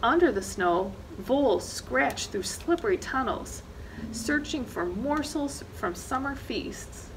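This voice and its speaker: Higher-voiced woman reading a storybook, unhurried. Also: background noise. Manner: animated, dramatic emphasis on action words. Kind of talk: expressive children's picture-book read-aloud. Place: indoor library reading corner, seated.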